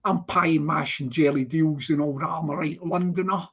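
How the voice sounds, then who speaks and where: A man's voice: on the high side, fairly even intonation, moderate pace, fairly bright tone, breathy edge. A Scottish man hosting a casual live stream, chatting away to his viewers and greeting each one in a repetitive sing-song. His talk is loose and rambling, broken by easy laughter. The stream audio sounds slightly dull and lo-fi. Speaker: livestream host. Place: living in London.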